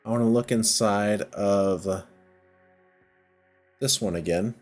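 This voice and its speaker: A man's voice: on the low side, takes it slowly, a little mellow, faint music behind. A man giving casual gameplay commentary, thinking aloud in a hesitant, self-questioning way as he works through a puzzle game. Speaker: video game playthrough host.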